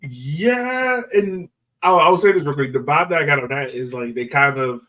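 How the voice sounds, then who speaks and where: A man's voice: fairly high pitch, moderate pace, bright, forceful tone, far from the mic. Man chatting sports, slightly off mic. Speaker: soccer podcast host. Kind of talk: casual sports chat.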